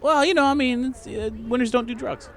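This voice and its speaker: A man playing a tabletop game, chatting casually and joking in a playful, animated way. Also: very high-pitched, a little fast.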